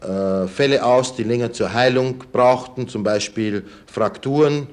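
A sober witness played by an actor testifies in a documentary radio play. His voice is medium in pitch, halting and matter-of-fact. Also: natural voice.

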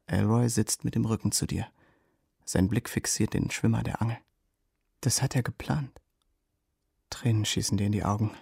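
An actor playing the watchful inner voice of a young soldier, narrating a radio drama in terse, observing phrases. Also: male voice, on the low side, steady tone, normal speed, gravelly, pauses often, a little mellow, husky, no room sound. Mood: hushed, intense. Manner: terse, present-tense, observing. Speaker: second-person narrator. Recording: studio radio play with guitar underneath.

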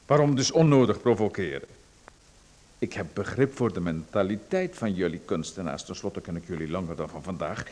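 A man playing a smooth, menacing official in a radio drama, condescending and coaxing. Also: low-pitched, expressive, fairly quick, darkish tone. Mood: patronizing. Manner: condescending, coaxing then threatening.

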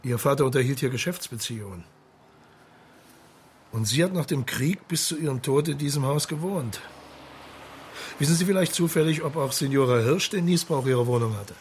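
An actor playing a thoughtful, patient police marshal who questions deliberately in a radio crime drama. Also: male voice, mid-range voice, a little hiss or hum, natural voice. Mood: probing.

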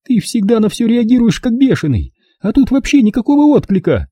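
A male narrator plays a distraught middle-aged mother in an audiobook. The voice is pushed high and swings widely, with a soft dark tone. She is bitter, pleading and insistent, leaning hard on every reproach. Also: very animated, brisk, slightly rough, no echo, heavily acted. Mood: exasperated, angry. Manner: emphatic, reproachful, pressing with rhetorical questions. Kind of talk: audiobook character dialogue.

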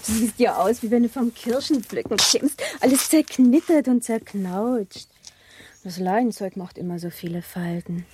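An actress playing a prim, cautious teenage pastor's daughter in a literary radio play, talking in a chiding manner. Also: female voice, a little high, measured pace, noisy background, close to an everyday voice. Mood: prim, reproachful.

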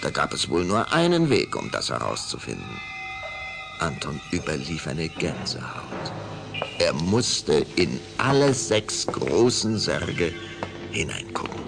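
A man narrating a children's audio drama in a measured, suspenseful storytelling style. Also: a bass voice, huge pitch swings. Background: clear background music.

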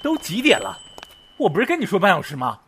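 Testy, higher-voiced jealous man. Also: huge pitch swings, quick pace, a bit bright, a little hiss or hum, heavily acted. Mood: impatient. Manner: curt, interrogating, sarcastic. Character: testy, jealous veterinarian boyfriend, sharp-tongued. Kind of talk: Chinese audio drama acting.

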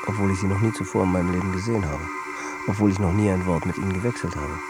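A low male voice of an actor plays a jet-set manager losing his grip. He delivers a tense, circling radio-play monologue with music underneath. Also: steady tone, very fast, lightly acted. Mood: tired, absorbed. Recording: music behind the voice.

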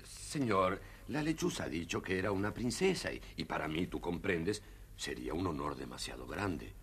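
An actor playing a timid, deferential vizier in a children's story, with a hesitant, fawning manner. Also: male voice, sits low, expressive, normal speed, fairly bright tone, husky, heavily acted. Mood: nervous evasive. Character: servile, evasive male vizier, timid and deferential. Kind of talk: dramatized children's story record acting.

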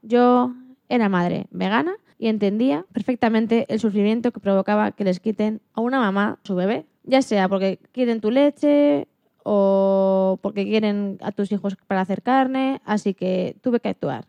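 A woman's voice: mid-range voice, normal speed, gravelly, mellow, no room sound, lo-fi sound, natural voice. A woman playing an animal liberation activist and mother who tells her story earnestly in an acted radio interview, stumbling slightly now and then. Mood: earnest, solemn.

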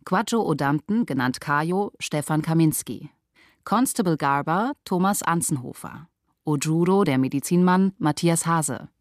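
A woman reading scripted radio drama announcements in a measured, formal manner. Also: low voice, expressive, dry recording, studio quality.

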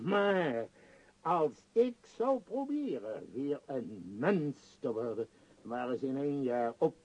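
An actor playing a powerful middle-aged tycoon in a radio play, bitter and declamatory, longing for lost freedom. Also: male voice, on the high side, warm tone, slightly rough, highs cut off, heavily acted. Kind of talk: theatrical radio play acting.

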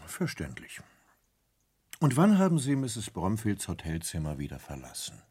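Probing police inspector in a radio crime drama, really low and dark voice, calm, measured. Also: male voice, husky, heavily acted. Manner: measured questioning with thoughtful hums. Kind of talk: radio crime play acting.

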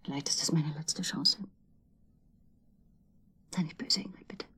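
An actress playing a giddy, infatuated, lonely woman in a radio drama, speaking intimately and haltingly in a breathy voice that often sinks to a whisper. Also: sits low, sharp tone, full character voice. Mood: wistful, pleading. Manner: halting, emotional, swinging between murmur and outburst.

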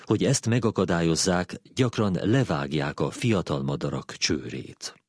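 A man with a low voice narrates a written essay. It is a scripted read, recorded close and dry with very little room sound. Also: animated, a little mellow, husky, dry recording. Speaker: narrator reading a philosophy essay.

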